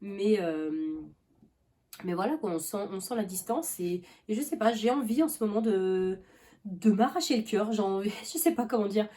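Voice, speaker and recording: A woman's voice: medium pitch, animated, normal speed, mellow. A young woman vlogging about books in a loose, rambling chat, full of fillers and restarts, with a cough now and then. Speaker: book vlogger. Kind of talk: casual chat.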